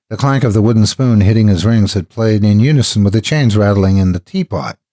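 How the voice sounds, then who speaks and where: A man's voice: fairly low pitch, warm tone. A man narrating scripted horror stories dramatically as host, close to the microphone.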